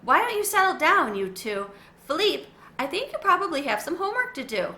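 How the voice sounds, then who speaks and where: A woman reading a gentle, soothing mother. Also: high-pitched, very animated, a little fast, reverberant, pitched above the performer's own voice, heavily acted. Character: gentle mother of two boys.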